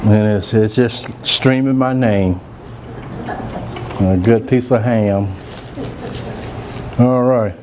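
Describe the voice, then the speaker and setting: A soft-spoken man, chatting relaxed as he hosts a cooking demonstration in a large room. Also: lowish voice, slow, pauses often, noisy background. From Georgia, US. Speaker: cooking show host, chef. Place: large room with a live audience.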